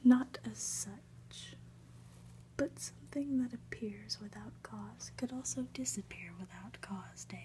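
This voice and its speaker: A woman voicing an ominous, pompous male professor in a breathy storybook whisper, lecturing with drawn-out pauses. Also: mid-range voice, mellow, natural voice, low-quality recording. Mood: grave.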